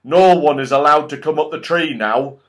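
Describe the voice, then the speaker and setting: A man voicing a wicked red goblin in a children's storybook, loud, domineering and gloating. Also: on the high side, normal speed, lightly acted. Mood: menacing, gloating. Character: wicked grinning red goblin, rough bully.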